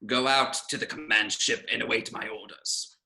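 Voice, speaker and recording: A man playing a sinister old galactic emperor, gravelly and sharp, giving assured commands in a script table read. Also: medium pitch, steady tone, normal speed, poor audio. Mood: coldly commanding. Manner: imperious, assured.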